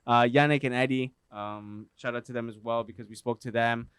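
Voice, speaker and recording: A man co-hosting a casual soccer podcast, talking in an upbeat way and giving shout-outs. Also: mid-range voice, steady tone, brisk, clear, resonant tone, no echo, studio quality. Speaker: podcast co-host. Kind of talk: casual chat.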